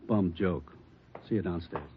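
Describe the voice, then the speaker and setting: A man's voice: deep voice, unhurried. An actor playing a practical bomb-disposal man, laconic and matter-of-fact, in 1950s radio drama style. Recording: muffled, faintly noisy old radio recording.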